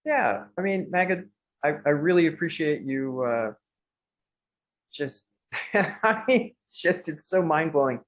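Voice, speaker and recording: A man giving informal, halting remarks of thanks as a participant in an online event. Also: a little high, lively intonation. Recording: dull video-call sound.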